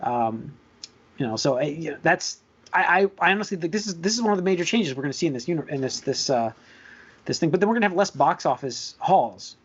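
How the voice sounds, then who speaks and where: Fast-talking man hosting, slightly high voice. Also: expressive, slightly rough, muffled recording. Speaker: podcast host. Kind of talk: casual podcast discussion.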